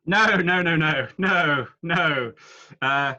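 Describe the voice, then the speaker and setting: A man hosting a quiz over a video call, reading each clue slowly and then again. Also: on the high side, bright, forceful tone, dull audio. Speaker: quiz host.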